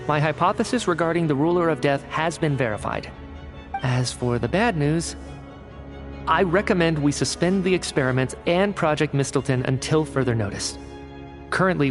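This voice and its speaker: A young male video game scientist with a fairly high voice. He lays things out in precise, articulate phrases, rational and matter-of-fact, over background music. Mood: detached, serious. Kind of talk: video game voice acting, expository dialogue.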